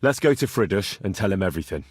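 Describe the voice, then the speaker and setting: Husky, animated young medieval swordsman. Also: deep voice, fast, no echo, clean studio sound, heavily acted. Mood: cocky bargaining. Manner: blunt, haggling, cocky. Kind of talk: video game character dialogue.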